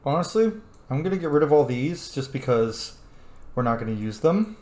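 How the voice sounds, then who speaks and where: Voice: mid-range voice, big pitch swings, moderate pace, a little mellow. A game streamer giving casual, playful, informal commentary in murmured asides. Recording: roomy, faint noise.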